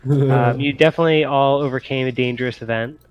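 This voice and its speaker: A man's voice: mid-range voice, fairly even intonation, brisk, low-quality recording. A man talking casually as game master of a tabletop game, in a hesitant, conversational way.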